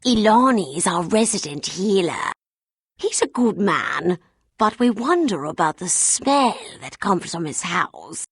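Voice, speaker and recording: A gossipy fantasy villager with a lowish, husky voice. She talks slowly and confidingly, letting insinuation lilt through every phrase. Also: big pitch swings, dry recording, clean studio sound, full character voice.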